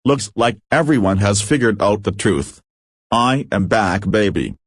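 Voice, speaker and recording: A man's voice: lowish voice, lively intonation, measured pace, breathy edge, no echo, studio quality. A man playing a gloating, menacing villain mastermind bent on revenge in an amateur fan-made drama. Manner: boastful, menacing. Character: gloating vengeful male villain mastermind with evil plans.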